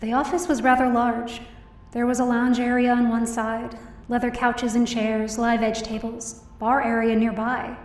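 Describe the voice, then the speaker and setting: A high, earnest female voice from an actress as a hopeful heroine down on her luck. She tells her story expressively, as in an audio drama. The sound is echoey and set back from the mic, with some music behind. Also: flat delivery, moderate pace, close to an everyday voice. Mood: reflective, hopeful. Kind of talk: first-person audio drama narration and dialogue. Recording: roomy echo, distant mic, music behind.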